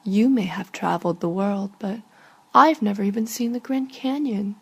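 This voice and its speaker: A woman reading her own literary short story in a measured, understated way. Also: medium pitch, gravelly, breathy edge.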